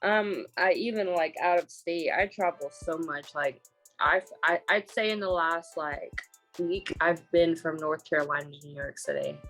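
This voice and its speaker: Low-voiced Southern woman, laid-back. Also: normal speed, a bit bright, faint music behind, rough recording. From Florida, US. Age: 20s. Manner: laid-back, hesitant, laughs often. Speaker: interview guest. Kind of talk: casual interview answers. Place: hotel room.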